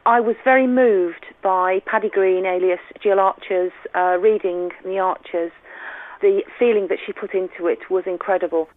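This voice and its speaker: A woman's voice: medium pitch, fairly even intonation, moderate pace, dry recording. A woman from Shropshire, phoning in an appreciative comment. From Shropshire, England. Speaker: listener phoning in. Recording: narrow, tinny phone line.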